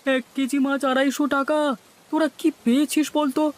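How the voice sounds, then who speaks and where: A man's voice: extremely high pitch, flat delivery, moderate pace. A man voicing a grumbling, complaining village shopper in a cartoon. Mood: indignant, resigned. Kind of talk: cartoon dialogue.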